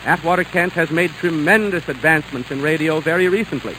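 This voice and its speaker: Higher-voiced 1930s radio ad man. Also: a little fast, sharp tone, rough recording. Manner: smooth sales pitch. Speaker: commercial announcer. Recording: old radio recording with noise.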